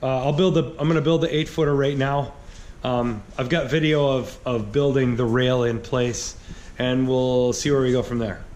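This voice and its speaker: A man's voice: medium pitch, steady tone, normal speed, a little mellow, slight background noise. A man in his thirties hosting a casual workshop vlog, talking upbeat while he works in a large garage shop, picked up by an action camera. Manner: chatty, upbeat, talks while working. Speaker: woodworking channel host. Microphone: action camera on body or nearby. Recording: echoey garage with music behind.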